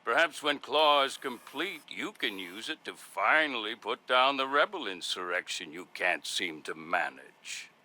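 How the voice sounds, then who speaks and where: A man playing an arrogant, menacing strategist in a video game, speaking with haughty, deliberate condescension. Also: mid-range voice, expressive, bright, forceful tone. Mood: haughty, scornful. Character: arrogant, menacing male strategist villain, coldly superior. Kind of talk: video game cutscene acting.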